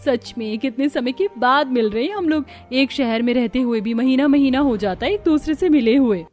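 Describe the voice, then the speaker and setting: A woman narrating and voicing characters in a cartoon story, expressive and conversational. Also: sits high, moderate pace, soft background music. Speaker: narrator and voice actor.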